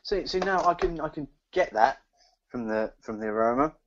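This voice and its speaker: A man with a middling-pitched voice chats casually as a podcast co-host. He speaks haltingly, repeating words while he thinks aloud. The recording is a little dull and lo-fi. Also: expressive, fairly bright tone. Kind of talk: casual chat, beer review.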